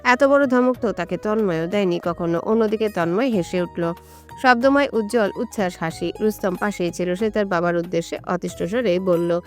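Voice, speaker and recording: Lady reading out a love story, medium pitch, music playing behind her. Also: moderate pace, darkish tone. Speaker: narrator. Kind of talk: scripted romantic story narration.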